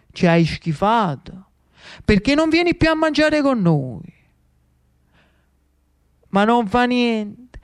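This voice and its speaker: Male actor as devilish Neapolitan tempter, raised pitch, coaxing, mockingly menacing, radio theatre, dry sound. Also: clean tone, no room sound, full character voice. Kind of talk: theatrical radio monologue acting.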